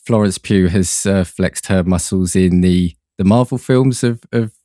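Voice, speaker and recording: A man chatting casually as a podcast guest, enthusiastic but halting as he works through his thoughts. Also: low-pitched, warm tone, dry recording, clean studio sound. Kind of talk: casual film chat.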